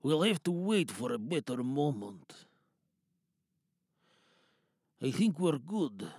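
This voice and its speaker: A man playing a weary, battle-hardened British soldier in a dramatic audio monologue, bitter and hushed. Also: a little high, big pitch swings, normal speed, husky, no room sound, clean studio sound, pitched below the performer's own voice. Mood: urgent, cautious.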